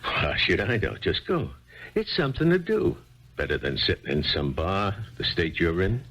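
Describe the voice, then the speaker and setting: A gravelly, folksy bartender in an old radio play, blunt and pushy but kind. His voice sits fairly low and swings up and down a great deal as he talks. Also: wildly swooping pitch, moderate pace, a bit bright, heavily acted. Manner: folksy, pushy, matter-of-fact. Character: chatty, blunt, well-meaning male bartender. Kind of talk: 1970s radio drama acting.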